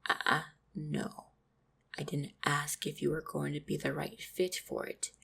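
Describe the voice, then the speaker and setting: Woman acting a low, husky, tender orc fiancée. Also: completely flat delivery, measured pace, full character voice. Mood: reassuring, tender. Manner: tender, reassuring, softly spoken. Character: gentle, devoted female orc hunter, supportive fiancée. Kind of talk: roleplay audio drama, intimate monologue to the listener.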